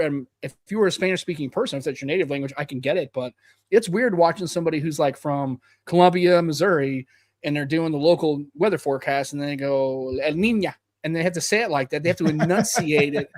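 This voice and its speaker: A man hosts a loose tech podcast, rattling along at a fast clip. His voice sits a touch high and the sound is dry and close. He rambles and jokes, now and then mimicking accents. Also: dry recording. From United States. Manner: rambling, mock-imitates accents. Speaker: podcast host. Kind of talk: casual panel chat.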